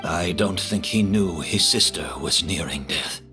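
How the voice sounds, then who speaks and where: A man voicing a frail, remorseful male perfumer who speaks in a measured, honest way. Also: sits low, loud music behind the voice. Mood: somber.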